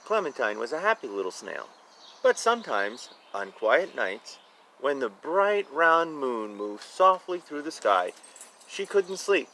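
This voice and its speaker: Male storybook reader, bright voice, lively swings in pitch, informal asides, recorded outdoors with faint background noise. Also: measured pace, low-quality recording. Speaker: reader of a children's picture book. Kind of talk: read-aloud storytelling with casual asides about the pictures.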